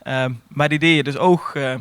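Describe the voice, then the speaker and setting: A man's voice: fairly high pitch, fairly even intonation, normal speed, a bit bright, smooth, no echo. A man with a Dutch Limburg accent, co-hosting a podcast with casual chat and informal storytelling. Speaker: podcast co-host.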